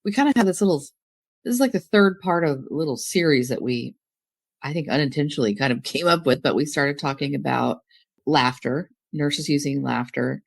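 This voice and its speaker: A woman's voice: very deep voice, creaky, darkish tone, no echo, studio quality. A woman co-hosting a nursing podcast, a registered nurse with a cold that makes her sound nasal, chatting casually with many fillers. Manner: chatty, lots of fillers and affirmations.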